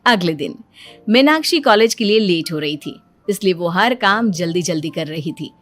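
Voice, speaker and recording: A woman's voice: lowish voice, expressive, moderate pace, a bit bright. A woman giving scripted narration for a cartoon family story as its storyteller. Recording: music bed behind the voice.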